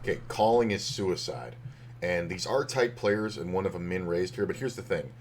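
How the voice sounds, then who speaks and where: A man casually talking through poker hands on a stream, rambling with lots of fillers, his voice slightly hoarse. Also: low voice, expressive, normal speed, faint music behind. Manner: rambling, loose, with many fillers and restarts.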